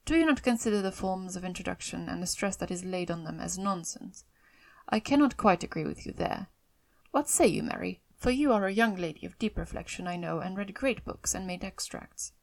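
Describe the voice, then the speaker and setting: A woman voicing a dry, teasing middle-aged father in an audiobook of a classic novel, speaking in a measured, formal, ironic way. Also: mid-range voice, expressive, gravelly.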